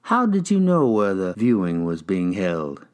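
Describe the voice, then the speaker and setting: Man acting a smoothly probing detective, low voice. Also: wildly swooping pitch, measured pace, exaggerated voice. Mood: deceptively friendly. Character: tall, dapper male detective, deceptively friendly and probing. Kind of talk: audiobook character dialogue.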